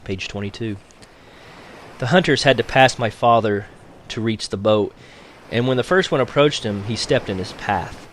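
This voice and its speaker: A man reading a novel aloud as an audiobook narrator, in a measured storytelling manner. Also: on the low side, slight background noise. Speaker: audiobook reader.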